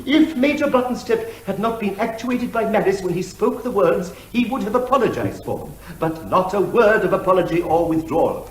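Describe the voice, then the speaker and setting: An actor playing a verbose barrister who argues rhetorically and is elaborately deferential to the judge. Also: male voice, sits high, sing-song, moderate pace, hardly any pauses, echoey room, poor audio. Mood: declamatory, insistent. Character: verbose, theatrical male barrister, obsequious to the judge. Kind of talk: comic courtroom advocacy.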